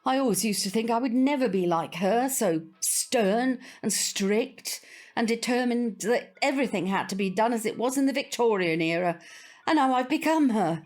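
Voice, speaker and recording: A woman's voice: on the high side, animated, normal speed, darkish tone, natural voice. A woman playing a prim, old-fashioned older British grandmother, opinionated and wistful, in an audio drama. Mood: wistful, resigned. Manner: prim, proper, hesitant around awkward subjects.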